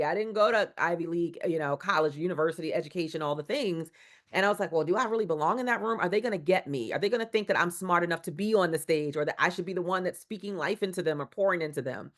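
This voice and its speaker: A woman with a lowish voice speaks as a podcast guest. She talks earnestly and emphatically, stacking repeated phrases the way a preacher builds a point. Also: quick pace. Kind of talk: conversational interview.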